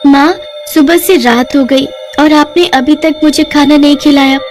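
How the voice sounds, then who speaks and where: A woman's voice: sits high, fairly even intonation, a little slow, a little mellow, soft background music, voice pushed up. A woman voicing a hungry, upset five-year-old village girl in a cartoon story, with a childlike, pleading whine. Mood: whining, hungry.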